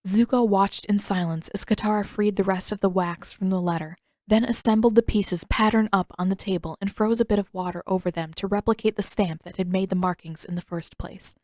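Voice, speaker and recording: A woman's voice: mid-range voice, steady tone, fairly quick, hardly any pauses, darkish tone, no room sound, studio quality. A woman reading scripted fiction aloud as a story narrator. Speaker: fan fiction author and narrator.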